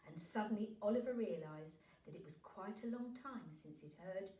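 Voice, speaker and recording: A woman reading a children's story aloud in an expressive, animated narrating style. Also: medium pitch, normal speed. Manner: animated, acts out dialogue. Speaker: storybook reader for a class. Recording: roomy echo, distant from microphone.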